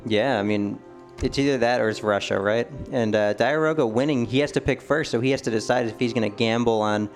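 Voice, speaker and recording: A man's voice: mid-range voice, brisk, hardly any pauses, faint music behind. A man co-casting a live esports match, giving thoughtful analysis with many ums and hedges. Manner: thoughtful, hedging with ums and maybes. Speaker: esports co-caster.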